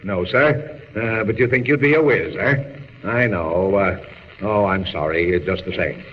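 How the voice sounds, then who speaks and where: An actor playing a harried, blunt newspaper editor with a curt, blustery 1940s radio delivery. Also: male voice, on the low side, unhurried, lightly acted. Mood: brusque, skeptical. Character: gruff, harried, impatient male newspaper editor. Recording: muffled, noisy, reverberant vintage radio recording.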